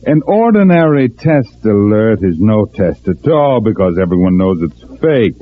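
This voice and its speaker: An actor playing a cold, commanding male ringleader, measured and authoritative, in 1940s radio drama style. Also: on the low side, very animated. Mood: cold, persuasive. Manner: measured, authoritative, clipped orders. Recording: muffled, narrow-band 1940s radio recording.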